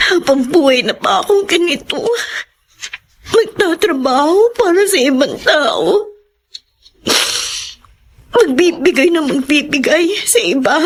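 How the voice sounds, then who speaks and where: Tearful, shrill, worn-out Filipina sister. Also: female voice. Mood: despairing. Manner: anguished, pleading, emphatic. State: crying. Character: exhausted, embittered elder sister and family breadwinner. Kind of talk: Filipino radio melodrama acting.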